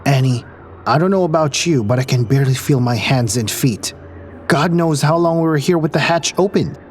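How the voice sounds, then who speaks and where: A man's voice: on the high side, normal speed, slight background noise, close to an everyday voice. A man playing a wry, pragmatic space crewman in an audio drama, dry and a little exasperated. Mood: alarmed.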